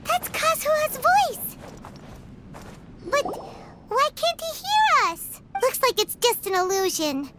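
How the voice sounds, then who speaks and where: Tiny, very high squeaky fairy. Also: female voice, sing-song, slow pace, long pauses, noisy background, full character voice. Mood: alarmed. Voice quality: squeaky, childlike. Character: tiny, squeaky, childlike floating fairy companion. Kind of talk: cartoonish game voice acting.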